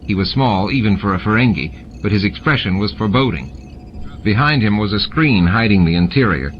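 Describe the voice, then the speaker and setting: A man's voice: on the low side, lively intonation, fairly quick. A man narrating a scripted story as an audiobook reader. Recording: muffled, with clear background noise. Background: noise and ambient sound effects.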